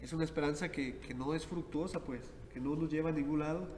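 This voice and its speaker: A man's voice: on the high side, steady tone, fast, a little mellow. A man, a priest hosting a reflective podcast conversation, who often ends his points with tag questions. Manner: thoughtful, many tag questions. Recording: echoey hall with background noise.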